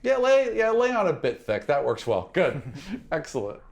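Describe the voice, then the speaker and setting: A man's voice: fairly high pitch, wildly swooping pitch, normal speed, vocal fry. Guy running a tabletop rpg as game master, jokes around and banters. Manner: joking, bantering with players.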